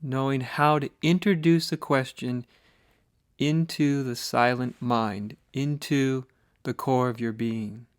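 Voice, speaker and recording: American guy doing guided meditation, really slow and deliberate, close dry sound, lots of pauses. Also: mid-range voice, fairly even intonation, clear, resonant tone, no echo. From United States. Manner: measured, deliberate, with pauses between short phrases. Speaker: spiritual teacher leading guided meditation.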